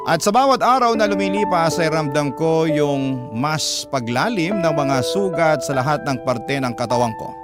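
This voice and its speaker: A man's voice: a little high, close to an everyday voice. A man with a Filipino accent narrating a suffering letter writer's first-person account as scripted radio drama. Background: loud background music.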